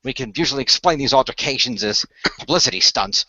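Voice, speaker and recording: A man playing a composed, knowledgeable leader of a secret hero team, speaking in measured, formal explanations. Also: medium pitch, animated, bright, forceful tone, no room sound. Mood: dry, composed. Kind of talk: amateur radio play acting. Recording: dry, slightly muffled home recording.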